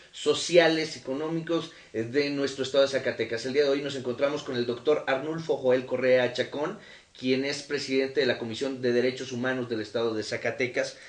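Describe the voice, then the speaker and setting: A man with a Mexican accent interviewing a guest in a formal, polite conversational way, recorded from a distance in an echoey room. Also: mid-range voice. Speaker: television interviewer. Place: interviewee's home, indoors.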